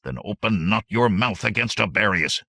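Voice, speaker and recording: A man voicing a gruff, authoritative bandit lieutenant in an audiobook, barking his words. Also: fairly low pitch, expressive, moderate pace, pushed and bright, no room sound, studio quality, pitched above the performer's own voice, heavily acted. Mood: commanding. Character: gruff, commanding one-eyed bandit lieutenant.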